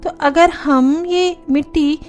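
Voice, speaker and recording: A woman's voice: high-pitched, steady tone, takes it slowly, darkish tone, soft background music, pitched above the performer's own voice. A woman voicing a keen, plaintive young sister-in-law in a cartoon story. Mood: keen, curious. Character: young girl sister-in-law, bright-eyed and complaining. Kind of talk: Hindi cartoon story dialogue.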